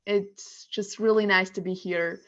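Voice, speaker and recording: A woman's voice: medium pitch, steady tone, a little slow, muffled recording. Cheerful Bulgarian woman on a call. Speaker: participant. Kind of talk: group discussion on a video call. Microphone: video call.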